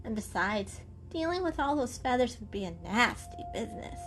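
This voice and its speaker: A woman's voice: on the high side, animated, normal speed, a bit bright, faint music behind, voice pushed up. A woman voicing a small, dainty female house cat with a plaintive, anxious and slightly fussy manner, in an animal storybook reading. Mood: prim, soft.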